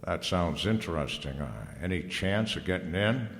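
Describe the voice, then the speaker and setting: An actor playing a wry, laconic private detective in an old-time radio noir drama, slangy and dry. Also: male voice, sits low, animated, moderate pace, a bit bright. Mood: casual, probing. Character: wry, hardboiled male private detective narrator. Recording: reverberant hall, music underneath.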